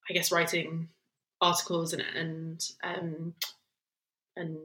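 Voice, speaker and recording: A woman's voice: low-pitched, monotone, slow, bright, forceful tone. Reflective woman in a roomy space. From England, near Luton. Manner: reflective, meandering, self-deprecating. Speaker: guest. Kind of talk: casual interview chat. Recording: roomy echoey recording.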